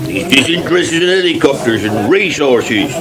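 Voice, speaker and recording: An actor playing a grumbling, boastful old farmer with a West Country accent in a British radio soap. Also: male voice, fairly high pitch, lively intonation, normal speed, over music, low-quality recording. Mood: scornful, crowing. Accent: English West Country. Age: older. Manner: scornful, crowing. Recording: 1980s radio drama, pub crowd behind.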